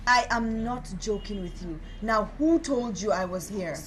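A woman's voice: mid-range voice, a little slow, a little hiss or hum, close to an everyday voice. An actress playing a haughty, hot-tempered young woman in a film drama, confrontational and boastful with theatrical insults. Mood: angry, demanding. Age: young adult.

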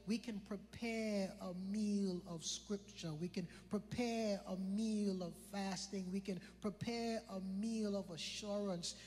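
High-voiced preacher in a reverberant hall. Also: male voice, fairly even intonation, slow pace, few pauses, a little mellow. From British Virgin Islands. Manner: conversational, joking, repeats phrases for emphasis. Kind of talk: sermon. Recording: long hall reverb with faint music.

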